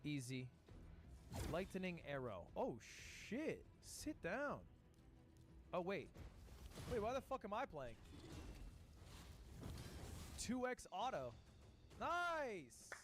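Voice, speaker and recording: A man's voice: sits high, wildly swooping pitch, slow, pauses often. A man in a comedy video, reviewing games with sarcastic, swearing asides. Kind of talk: casual comedic video monologue. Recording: video played on stream with faint music bed.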